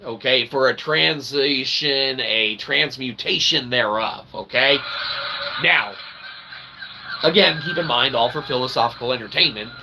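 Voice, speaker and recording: Gravelly man rambling in wordy, pseudo-formal phrases. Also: medium pitch, measured pace, rough recording. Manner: verbose, pseudo-formal wordy phrasing with frequent tag questions; tongue-in-cheek mock philosophizing. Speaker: livestream host. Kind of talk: rambling casual monologue to live viewers. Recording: steady background noise.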